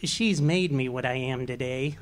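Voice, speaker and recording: A timid, henpecked middle-aged husband with a soft, hesitant way of talking, as an actor puts him on. He speaks slowly and mildly in a live stage radio play, never raising his voice. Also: mid-range voice, close to an everyday voice. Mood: meek. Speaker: amateur actor.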